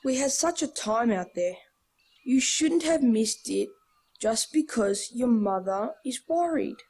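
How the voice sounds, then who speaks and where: A wry Australian schoolchild with a fairly high voice. The kid is sensible and gently teasing, in a nostalgic radio drama style. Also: female voice, lively intonation, slow pace, husky, exaggerated voice. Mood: matter-of-fact. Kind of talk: nostalgic radio play acting.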